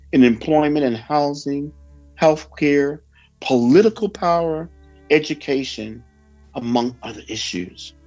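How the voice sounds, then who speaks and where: A man reading a scripted religious report as a solemn sermon, in a declamatory and deliberate way with emphatic phrasing. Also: on the high side. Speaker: religious reader delivering a prophecy report. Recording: dull lo-fi audio with background music.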